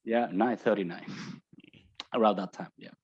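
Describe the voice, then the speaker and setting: A man with a low voice and a Vietnamese accent gives explanatory answers as an academic guest. His delivery is hesitant, with halting phrases. The audio is somewhat dull and lo-fi. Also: fairly even intonation, breathy edge. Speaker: guest researcher.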